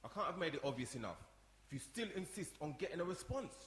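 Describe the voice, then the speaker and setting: A man's voice: on the high side, big pitch swings, fast, a bit bright, slight background noise. A man playing a sullen, jealous young younger brother in a radio drama, snapping and confrontational. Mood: resentful. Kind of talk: radio soap acting before a live audience. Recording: echoey hall, long reverb.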